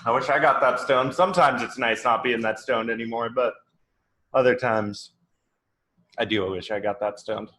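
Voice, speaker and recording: A man of thirty from Oregon, a podcast guest, chatting in a relaxed, rambling way with many fillers. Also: medium pitch, moderate pace. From Oregon, USA. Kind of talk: casual chat.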